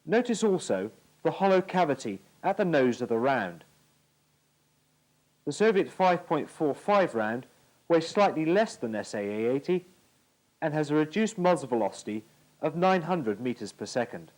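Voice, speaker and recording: A man's voice: a little high, sing-song, normal speed, a little mellow, poor audio. A British man giving formal, precise scripted narration for an instructional military training film from the 1980s.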